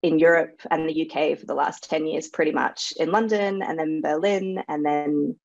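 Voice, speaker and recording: A woman's voice: deep voice, steady tone, moderate pace, creaky, a little mellow, no room sound, muffled recording. A woman who grew up in Australia, whose first language is Serbo-Croatian, chatting casually as a guest author, laughing easily and using lots of fillers. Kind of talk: casual interview chat.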